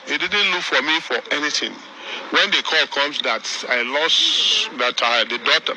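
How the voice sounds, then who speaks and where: Grieving uncle giving a press statement; bright, halting, repetitive man, faint background noise. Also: medium pitch, low-quality recording. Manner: repetitive, halting, with many restarts. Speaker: victim's uncle.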